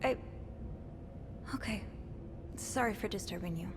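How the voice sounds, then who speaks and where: An actress playing a young hotel maid turned amateur sleuth, speaking gently and hesitantly, stammering now and then. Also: female voice, medium pitch, unhurried, over music, lightly acted.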